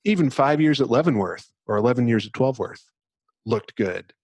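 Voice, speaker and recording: A man hosts a podcast with a mid-range voice and a very dry, close sound. He moves between casual chat and dramatic, measured reading. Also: medium pitch, expressive, darkish tone, slightly rough, dry recording. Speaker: podcast host.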